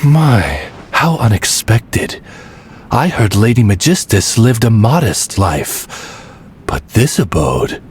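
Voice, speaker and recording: A man voicing a courtly, loyal raven retainer in a video game, gravely polite, with formal and courteous diction. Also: fairly low pitch, very animated, takes it slowly, a little hiss or hum. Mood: polite, measured.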